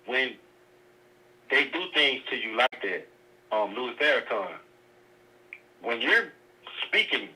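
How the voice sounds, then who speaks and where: A man calling in to a talk show, speaking hesitantly with many pauses and fillers. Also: mid-range voice, bright, forceful tone. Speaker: caller. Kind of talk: phone-in call. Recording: tinny phone line.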